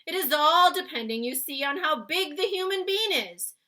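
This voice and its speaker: A woman's voice: sits high, animated, normal speed, bright, forceful tone, pitched above the performer's own voice. A woman voicing a big, friendly male giant from a children's storybook, with muddled grammar and nonsense words in an exclamatory manner. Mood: explaining with relish. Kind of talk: read-aloud children's storybook character.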